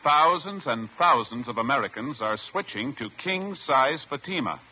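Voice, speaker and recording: Raspy fifties commercial announcer man, lively pushy selling, dull old radio sound. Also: mid-range voice, moderate pace. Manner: emphatic sales delivery. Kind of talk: 1950s radio cigarette ad. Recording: muffled vintage radio recording.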